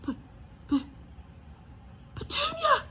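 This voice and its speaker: A woman's voice: very high voice, huge pitch swings, slow pace, pushed and bright, slight background noise, voice pushed up, heavily acted. A woman voicing a blustering, hot-tempered middle-aged uncle who stammers and barks in a storybook reading. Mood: angry, shouting. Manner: stammering, barking orders.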